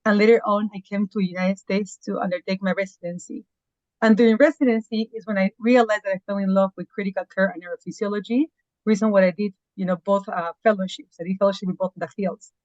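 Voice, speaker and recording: A woman's voice: mid-range voice, darkish tone, no room sound. Woman, dry lo-fi sound. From Peru. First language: Spanish. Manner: earnest, with fillers and non-native phrasing. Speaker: physician guest. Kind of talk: podcast interview.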